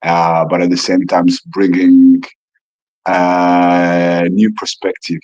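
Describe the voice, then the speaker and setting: A man's voice: deep voice, monotone, slow pace, darkish tone. A man in his forties from Rwanda whose first language is Kinyarwanda. He speaks earnestly and reflectively as a podcast guest on a phone, often repeating words as he gathers his thoughts. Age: 40s. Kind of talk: casual remote interview. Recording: lo-fi phone recording.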